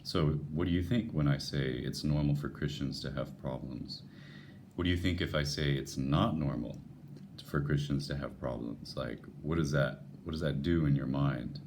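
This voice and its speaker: A man with an unusually deep, radio-like voice, talking earnestly and musing to his viewers about faith, with frequent restarts. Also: moderate pace, noisy background. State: voice lowered by a passing illness. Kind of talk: unscripted devotional talk to viewers.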